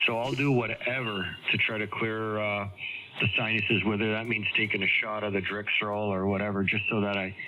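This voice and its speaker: A man's voice: mid-range voice, highs cut off. Congested man on noisy ham radio. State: congested with a head cold. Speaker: amateur radio operator on a group call. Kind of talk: casual chat over ham radio.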